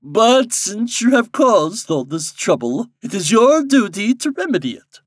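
Man playing a commanding, high-voiced fairy king. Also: very animated, measured pace, no room sound, clean studio sound, full character voice. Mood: stern, commanding. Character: wise fairy king, stern, commanding, instructive.

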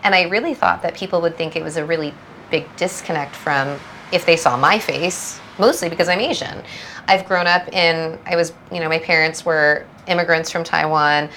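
A woman's voice: low-pitched, moderate pace, bright, forceful tone, slight background noise. A woman from Southern California, a guest brand founder, talking reflectively in a casual podcast interview and thinking aloud.

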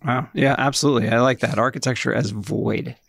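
A man's voice: mid-range voice, fairly even intonation, a little fast, creaky, fairly bright tone, husky, dry recording, studio quality. A man hosting a podcast, encouraging his guest and using hesitant fillers. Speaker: podcast host. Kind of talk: casual interview chat.

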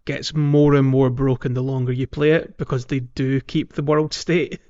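A man's voice: medium pitch, little pitch movement, normal speed, a little mellow, muffled recording. A man co-hosting a gaming podcast, chatting casually about a video game. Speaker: podcast co-host.

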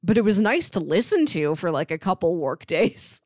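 Lively, playful woman chatting. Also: lowish voice, moderate pace, dry recording, clean studio sound. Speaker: podcast co-host. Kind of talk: casual podcast chat about media.

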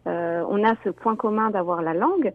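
A young woman from France, a teacher and education student, talks earnestly in a radio interview and often pauses with fillers. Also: mid-range voice, dry recording. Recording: dry, tinny phone line.

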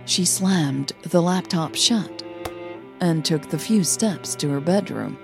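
A woman's voice: sits low, slow, vocal fry. A woman hosting an audio fiction podcast, with music behind her voice. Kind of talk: scripted story narration and casual outro chat.

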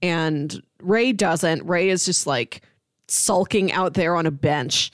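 A woman's voice: lowish voice, takes it slowly, dry recording, clean studio sound. A woman co-hosting a casual film discussion, retelling scenes conversationally and voicing the characters' lines. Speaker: film podcast co-host.

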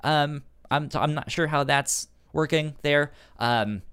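Slow-talking man with a stutter. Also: on the high side, fairly bright tone, clear, resonant tone. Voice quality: stutters, repeating sounds and words. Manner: halting, hesitant delivery. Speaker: podcast co-host. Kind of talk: casual chat recommending comics and shows.

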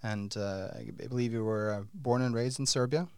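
A man's voice: mid-range voice, a little mellow, smooth, dry recording, studio quality. A middle-aged man hosting an interview podcast, asking long questions with frequent fillers and restarts. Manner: halting, many fillers and restarts. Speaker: podcast host.

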